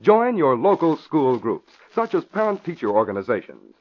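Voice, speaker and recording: An American male narrator in 1940s radio style. He is formal and emphatic, with a fairly high, highly inflected voice. The recording is dull and vintage. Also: sing-song, moderate pace. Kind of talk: 1940s radio announcing, scripted dramatic narration.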